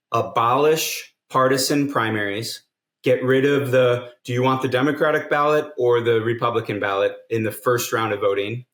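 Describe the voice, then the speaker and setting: An earnest man walks through his reasoning one step at a time, mid-pitched and steady. His voice carries a noticeable roomy echo from an indoor space. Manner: earnest, explanatory, builds arguments step by step. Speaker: guest, nonprofit co-founder. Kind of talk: podcast interview.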